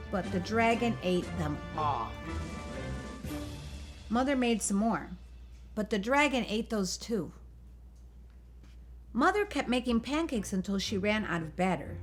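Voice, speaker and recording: A woman's voice: fairly high pitch, big pitch swings, measured pace, pauses often. Woman reading a children's storybook over music. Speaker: storyteller reading a picture book aloud. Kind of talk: expressive children's storybook narration.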